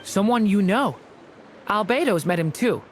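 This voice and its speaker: An actor voicing a polite, gentle being newly in human form in a game cutscene. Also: medium pitch, expressive, measured pace, music in the background, natural voice.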